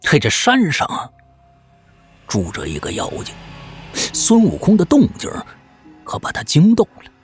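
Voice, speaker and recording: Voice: mid-range voice, wildly swooping pitch, moderate pace, long pauses, soft background music. A storyteller giving dramatic storybook narration in a folksy, traditional tale-telling manner.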